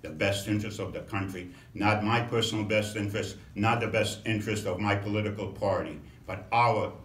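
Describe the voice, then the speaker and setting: A middle-aged man from Romania, a congressional candidate, giving a measured campaign introduction with frequent pauses and fillers. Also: on the low side, steady tone, fairly bright tone, a little hiss or hum. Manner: measured, halting, many fillers. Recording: echoey room, mic at a distance.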